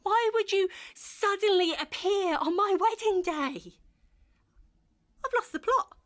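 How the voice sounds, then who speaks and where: A woman's voice: very high voice, slow pace, fairly bright tone, heavily acted. A British actress playing a flustered, stammering, sceptical bride in her thirties in a comedy audio drama. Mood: panicked, exasperated.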